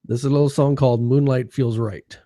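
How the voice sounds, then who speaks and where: A man's voice: mid-range voice, fairly even intonation, brisk, warm tone, no echo, studio quality. A man co-hosting a casual live chat show, quizzing his partner on a song countdown in a laid-back, chummy way.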